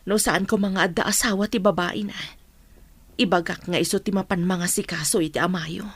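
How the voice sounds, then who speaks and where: A middle-aged Ilocano mother in a radio drama, wronged and worried. Her voice is slightly husky and earnest, carrying hurt in an emotional delivery. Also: on the low side, fairly even intonation, a little fast, dry recording. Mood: concerned.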